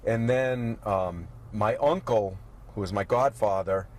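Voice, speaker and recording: A middle-aged man from Massachusetts, the guest in a casual interview, telling family stories in an easygoing way with many pauses and fillers, recorded inside a car. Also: fairly low pitch, darkish tone. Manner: easygoing storyteller, halting with fillers. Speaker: guest, restaurant owner. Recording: road and car noise in background.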